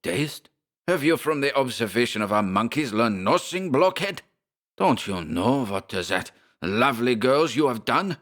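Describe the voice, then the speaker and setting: A man's voice: a little high, huge pitch swings, moderate pace, pushed and bright, pitched above the performer's own voice, heavily acted. A man voicing a furious little German scientist with a German accent and a shrieking, explosive delivery.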